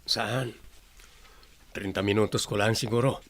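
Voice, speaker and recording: A man's voice: fairly low pitch, fairly even intonation, a little slow, a little hiss or hum, close to an everyday voice. An actor with a Filipino accent playing a practical male partner in an Ilocano radio drama. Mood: matter-of-fact.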